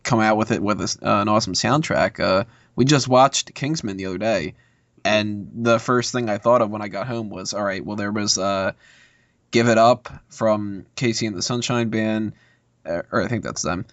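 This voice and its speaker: A man's voice: lowish voice, normal speed, fairly bright tone, dry recording, lo-fi sound. A man chatting casually and bluntly as a movie podcast panelist, giving his opinions.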